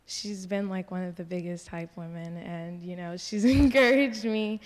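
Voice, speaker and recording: A woman's voice: on the low side, moderate pace. A woman who is a student musician on a panel, talking casually with frequent giggles. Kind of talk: live panel discussion. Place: large hall. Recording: long hall reverb from a live panel.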